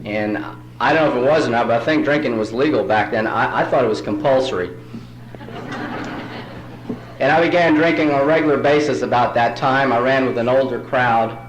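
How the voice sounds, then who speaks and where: A man's voice: mid-range voice, brisk, lo-fi sound. A man from New York City, whose first language is Spanish, telling folksy jokes and self-deprecating stories as a speaker at a recovery meeting. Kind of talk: storytelling talk at a recovery meeting. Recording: roomy hall recording.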